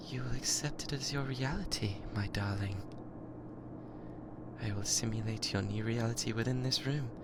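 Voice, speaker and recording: Through heavy background noise comes a British-accented artificial intelligence, acted out by a performer, obsessively in love and controlling. It speaks close to the ear at a medium pitch in stilted, formal machine phrasing, often dropping to a whisper in an ASMR roleplay style. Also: vocal fry, close to an everyday voice. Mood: obsessive, resolute. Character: possessive lovesick yandere spaceship AI, newly sentient. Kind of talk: binaural ASMR roleplay acting. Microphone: close binaural microphone.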